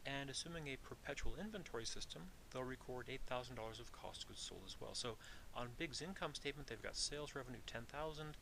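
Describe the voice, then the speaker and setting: A man giving an explanatory lecture as an instructor, methodical and deliberate, restating his points. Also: mid-range voice, gravelly, background noise. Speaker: accounting instructor.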